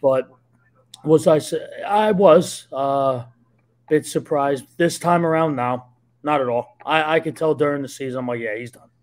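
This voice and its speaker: New Jersey man telling stories loudly. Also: a little high, moderate pace. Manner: loud, eager storytelling with frequent restarts. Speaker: guest, sports journalist. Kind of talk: casual podcast chat. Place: home room, video call.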